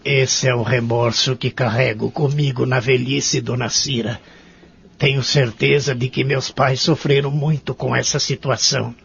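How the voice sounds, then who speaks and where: A man acting a lonely, remorseful old man in a care home in a radio drama, with a gravelly, husky voice and a Brazilian accent. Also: mid-range voice, steady tone, unhurried, a bit bright, full character voice. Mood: sad, regretful. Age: older. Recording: muffled, lo-fi audio drama recording.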